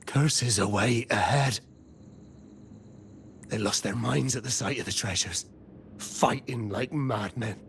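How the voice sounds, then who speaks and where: A man's voice: mid-range voice, takes it slowly, gravelly, pauses often, a little hiss or hum. A man voicing a despairing, doomed seafarer in a video game. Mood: grim.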